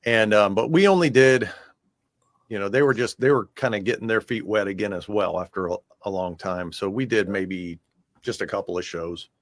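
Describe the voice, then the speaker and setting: A man's voice: fairly low pitch, normal speed, no room sound. An American man chatting casually in a music interview as the guest, talking in a loose, rambling way.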